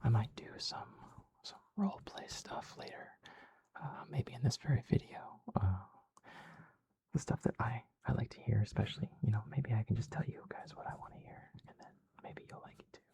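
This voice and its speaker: Whispering gravelly man, soft and coaxing. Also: mid-range voice, normal speed. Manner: soft, coaxing, soothing pet-talk. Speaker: ASMR creator with a dog persona. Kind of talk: whispered ASMR.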